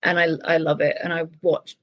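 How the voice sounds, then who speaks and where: A woman's voice: low voice, level tone, normal speed, a bit bright. A woman novelist talking about her writing in a casual interview, thoughtful and full of small restarts. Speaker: novelist guest.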